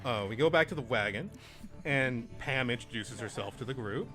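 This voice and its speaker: A man acting as game master, talking casually and haltingly as he hosts and narrates a tabletop game session. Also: medium pitch. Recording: music playing behind the voice. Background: music.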